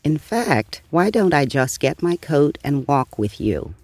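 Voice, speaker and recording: A calm old grandmother from a children's storybook, acted by a man. The voice is husky and slow, with lilting, gentle kindness. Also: fairly high pitch, no room sound, exaggerated voice. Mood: warm. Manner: gentle, unhurried, soothing. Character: kindly, calm elderly grandmother. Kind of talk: children's storybook read aloud.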